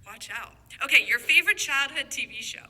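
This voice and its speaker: A woman hosts a chatty, informal interview with an encouraging manner. Her voice is extremely bright and forward, pitched a bit high. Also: expressive, a little fast. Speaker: host, interviewer.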